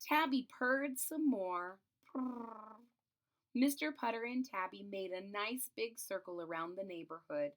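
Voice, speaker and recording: A woman's voice: mid-range voice, unhurried. A woman reading a children's storybook aloud expressively, drawing out phrases and adding explanatory asides about the pictures. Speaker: storybook reader and channel host.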